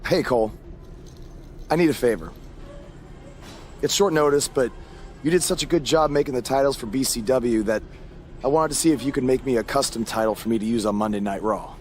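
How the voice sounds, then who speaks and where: A man's voice: mid-range voice, a little fast, pauses often, slight background noise, natural voice. An actor playing a defiant, cheeky young male pro wrestler in a video game cutscene. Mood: friendly, casual.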